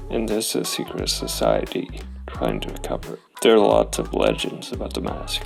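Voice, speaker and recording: A man presents a roleplaying show in a scripted explainer style. His voice is slightly low and extremely creaky, yet the intonation moves around animatedly. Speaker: host of a solo roleplaying show. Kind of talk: scripted intro and recap to camera or mic.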